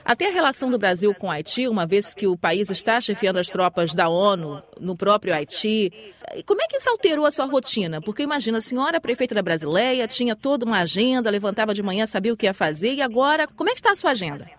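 A woman conducts a news interview. She talks quickly at a middle pitch and frames her questions in a poised, formal way. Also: very animated.

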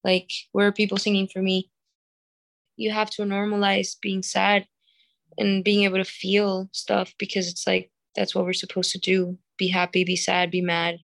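A woman's voice: mid-range voice, monotone, moderate pace, vocal fry, fairly bright tone. Young Venezuelan-accented woman, chatty. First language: Spanish. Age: young adult. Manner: chatty, filler-heavy with "like". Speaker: singer. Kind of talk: casual interview.

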